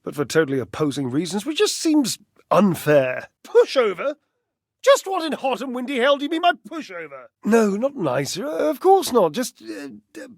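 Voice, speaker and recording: High-pitched, flamboyant, vain clothes dryer. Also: male voice, big pitch swings, normal speed, dry recording, studio quality, heavily acted. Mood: wistful, confessing, then offended. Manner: dramatic, breathy sighs and flustered stammering. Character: vain, flamboyant, sensitive male clothes dryer, a stage performer. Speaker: voice actor. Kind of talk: theatrical video game character acting.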